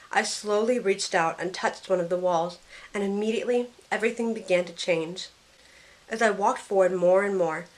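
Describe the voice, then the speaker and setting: A woman plays a young heroine grieving a lost love in an audio drama. She tells it in an earnest, emotional way, with a medium-pitched voice. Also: lightly acted, poor audio. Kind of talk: first-person audio drama narration with acted dialogue.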